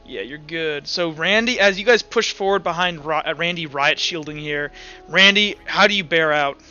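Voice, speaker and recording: A man's voice: fairly high pitch, normal speed, sharp tone, dull audio. A man running a tabletop roleplaying game, narrating off the cuff in an informal, thinking-aloud way. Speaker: game master. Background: background music.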